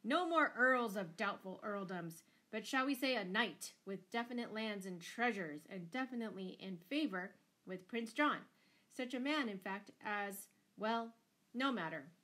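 A woman's voice: medium pitch, lively intonation, measured pace, sharp tone. A woman voicing a furious, tyrannical noble father in a storybook, bellowing and thundering with indignation. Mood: blustering. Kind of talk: dramatised storybook reading.

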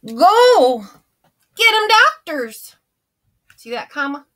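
A woman's voice: very high voice, very animated, takes it slowly, fairly bright tone, full character voice. A woman voicing a folksy old grandma who reads a storybook aloud in a chatty, homespun, theatrical way. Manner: chatty and theatrical, gasping and exclaiming.

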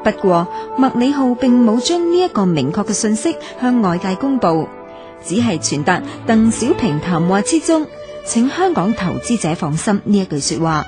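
Female radio narrator, measured scripted reading with an animated pitch line over background music. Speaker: documentary narrator. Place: radio studio.

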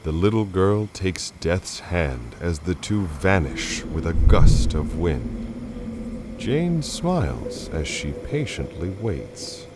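A man's voice: low-pitched, very animated, slow, noisy background. A man narrating a radio play in a dramatic, scripted style.

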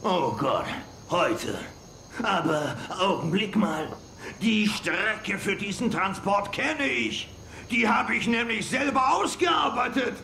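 An actor playing a flustered, blustering army general in an audio drama, exclaiming and stammering. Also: male voice, high-pitched, animated, takes it slowly, creaky, bright, forceful tone, full character voice. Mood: dismayed. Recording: roomy, with faint noise.

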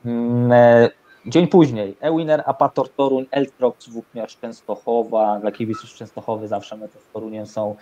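A young man hosts a casual sports talk from a room at home over a video call. His voice is dark and relaxed, at a medium pitch. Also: normal speed, highs cut off. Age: young adult. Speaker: host of a sports talk show. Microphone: video call microphone.

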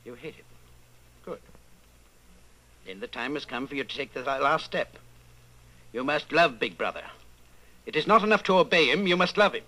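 Radio drama villain, male actor: domineering male inquisitor, controlled menace, animated and sharp-edged delivery. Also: a little high, rough recording. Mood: stern, commanding. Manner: deliberate, commanding, interrogating. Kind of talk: old-time radio drama acting.

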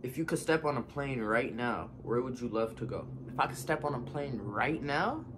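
A man's voice: mid-range voice, lively intonation, normal speed, noisy background. A man in his twenties reading fan questions aloud and answering them offhand in a casual home vlog from his couch. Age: 20s. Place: living room, seated on a couch.

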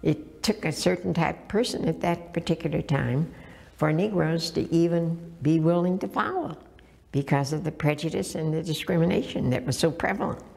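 Older woman with a gravelly low voice. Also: darkish tone, soft background music. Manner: measured, with hesitant fillers. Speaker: interviewee. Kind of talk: documentary interview, reminiscing.

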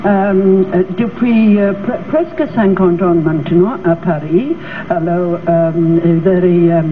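A woman in her sixties, a native English speaker with an Irish accent, answering a TV interview in halting speech with many fillers, on a studio stage. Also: fairly low pitch. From Ireland. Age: 60s. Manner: hesitant, many pauses and fillers. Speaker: dance troupe director, guest. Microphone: handheld mic held by host. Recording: tinny, noisy old TV broadcast.

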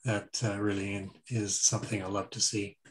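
An older man, a club member, chatting on a video call in a relaxed way with many fillers. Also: on the low side, normal speed, a little hiss or hum. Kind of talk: casual video call chat.

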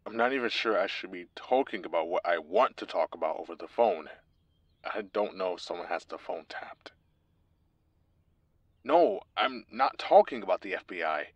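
A desperate, wary corporate lawyer, acted by a man in an audio roleplay. His voice is low and recorded dry and close, and he speaks with guarded, pleading urgency as if on a secret call. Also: no echo, dull audio. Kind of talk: audio roleplay acting, one side of a phone call.

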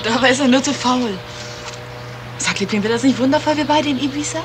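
Casting: actress as pert young fiancée, rapid talker, noisy vintage radio play. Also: female voice, high-pitched, natural voice. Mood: coaxing. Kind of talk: 1970s German radio crime drama acting.

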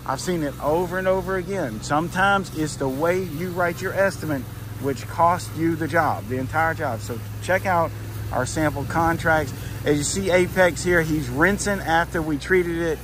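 A man's voice: a little high, moderate pace. A middle-aged man running a pressure washing business, giving a casual, plainspoken how-to walkthrough outdoors at a job site. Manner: plainspoken, folksy, instructive. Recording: faint outdoor background noise.

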